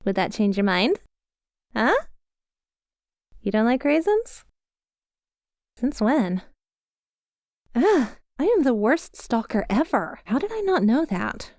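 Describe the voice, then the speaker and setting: A woman's voice: on the high side, big pitch swings, slow, long pauses, a little mellow, dry recording, clean studio sound, exaggerated voice. A woman playing a bubbly, inept young yandere schoolgirl in an ASMR roleplay, chatty, melodramatic and wheedling as she talks to her crush. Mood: hopeful, coaxing.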